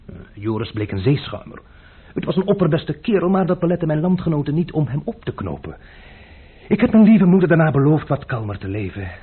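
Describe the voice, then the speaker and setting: An actor playing a flippant young adventurer who flatters and jokes in a radio drama, on an old tinny recording. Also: male voice, fairly high pitch, a little fast, husky, exaggerated voice. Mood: wry. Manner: wry, gallant, flattering.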